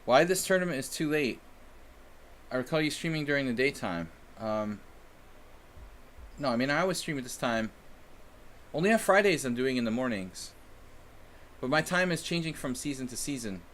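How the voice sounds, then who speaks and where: A man's voice: medium pitch, expressive, normal speed, long pauses, fairly bright tone, slight background noise. A man from the United States streaming chess and chatting casually with viewers in a rambling, self-deprecating way. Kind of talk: casual live-stream chat.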